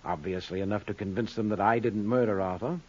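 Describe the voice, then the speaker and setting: An actor playing a brusque, self-assured, cagey gambling boss with clipped, sardonic lines in an old-time radio crime drama. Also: male voice, lowish voice, fast, a little mellow, slightly rough, muffled recording.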